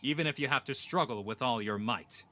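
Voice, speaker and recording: A man's voice: mid-range voice, a little fast, over a noisy crowd, natural voice. A man playing a proud, imperious young king in a comic dub, with a pompous, lofty manner. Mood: commanding, proud. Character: proud, boastful young king of ancient times, imperious but amused. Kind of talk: scripted comic dub acting.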